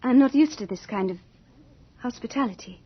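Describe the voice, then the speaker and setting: Breathy, girlish Lady Death, sweet and eerie. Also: on the high side, expressive, normal speed. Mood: gentle, eerie. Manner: sweet, polite, apologetic. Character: Death as a lovely, shy, eerie young girl. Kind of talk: old-time radio drama acting. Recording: dull old radio recording, faint music.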